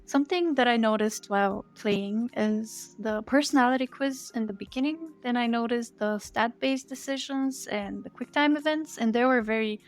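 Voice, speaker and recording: A woman's voice: a little high, normal speed, few pauses. A woman hosting a podcast interview. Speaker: podcast host.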